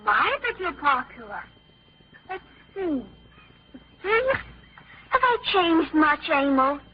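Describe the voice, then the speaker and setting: A woman's voice: high voice, very animated, slow, heavily acted. An actress playing an affected, coquettish, theatrical teenage girl in an old-time radio drama. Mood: coy. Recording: dull, faintly noisy old radio recording.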